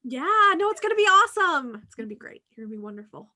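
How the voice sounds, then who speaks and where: A woman's voice: very high voice, big pitch swings, fast, pushed and bright. A middle-aged woman hosting a casual chat, effusive and encouraging as a professor talking with former students. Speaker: podcast host, professor.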